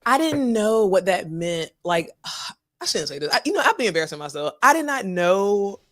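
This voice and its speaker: A woman co-hosting a podcast in casual chat, slightly hoarse after a cold, chatty and playful. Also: medium pitch, sing-song. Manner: chatty, playful, talks in quick bursts. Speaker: podcast co-host.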